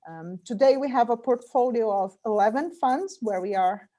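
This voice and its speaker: A woman's voice: a little high, moderate pace, dark tone. A middle-aged woman from Brazil, a Portuguese speaker, answering interview questions as a guest about her career and business. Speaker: guest, corporate venture investor.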